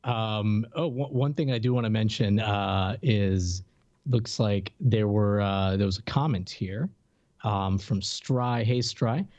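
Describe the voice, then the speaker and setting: A man's voice: fairly low pitch, takes it slowly, darkish tone, no echo, highs cut off. A man co-hosting a podcast, chatting informally and a little hesitantly as he comments on things. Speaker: podcast co-host. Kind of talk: casual pop-culture podcast chat.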